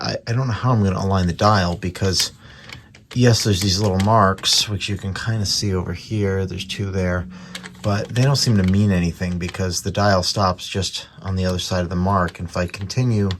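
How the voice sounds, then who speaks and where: A man's voice: sits low, creaky, a little hiss or hum. A man narrating a hands-on repair in a casual, unscripted way, thinking aloud with some hesitation. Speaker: radio repair hobbyist presenting his work.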